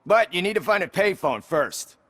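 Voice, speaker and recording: Game role: no-nonsense streetwise guy, high voice, insistent delivery, close dry sound. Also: animated, moderate pace, a bit bright, dry recording. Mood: matter-of-fact.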